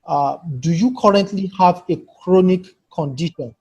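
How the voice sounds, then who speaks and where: A man's voice: sits high, normal speed, slightly rough. A man teaching a live online workshop over a video call, patiently walking participants through building a form and calling on them by name for feedback. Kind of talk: live screen-share tutorial. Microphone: computer mic on a video call.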